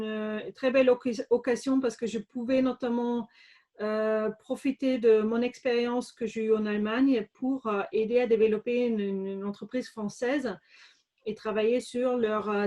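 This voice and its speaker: A woman's voice: on the high side, warm tone. A middle-aged woman from Germany with a German accent, a guest answering interview questions with many hesitations and restarts. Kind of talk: conversational interview.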